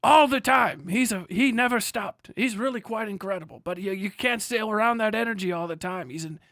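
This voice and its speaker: A man's voice: sits high, a little fast, gravelly, a bit bright, no room sound, studio quality, voice pushed up. A man voicing the easygoing hipster ghost of a long-dead president, rambling mildly in folksy, old-fashioned phrases. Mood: fondly amused.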